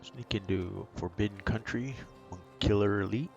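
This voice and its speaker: A man's voice: on the low side, moderate pace, gravelly, darkish tone, muffled recording. A man giving laid-back, offhand, casual commentary as he plays through a video game guide. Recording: game music behind the voice.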